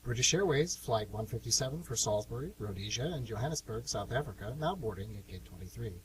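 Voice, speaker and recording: A man's voice: medium pitch, expressive, quick pace, close to an everyday voice. Man acting formal airport announcer, distant mic. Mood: formal, impersonal. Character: formal airport announcer calling flights and passengers. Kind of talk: public address announcement in radio play. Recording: distant mic with light hiss and hum.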